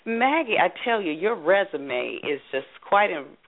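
A woman's voice: on the low side, sing-song, unhurried. A woman hosting an interview show, chatty with many fillers, heard over the phone. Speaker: host. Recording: phone line, narrow band.

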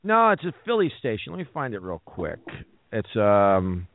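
A man's voice: on the low side, wildly swooping pitch, moderate pace, dry recording. Teasing male host on a phone line. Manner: teasing, talks over himself with restarts. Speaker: show host. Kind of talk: casual call-in radio chat.

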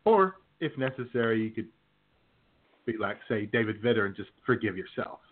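A man's voice: lowish voice, very animated, moderate pace, a little hiss or hum. A man co-hosting a casual radio show with wry, teasing banter. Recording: tinny phone line.

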